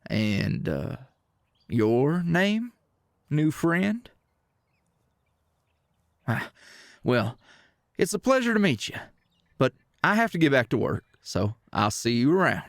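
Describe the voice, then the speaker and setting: A man's voice: medium pitch, sing-song, slow pace, pauses often, no echo, studio quality. A man voicing a friendly, charming small-town handyman with an American Southern accent in an ASMR roleplay, folksy and chatty. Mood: friendly, cheerful.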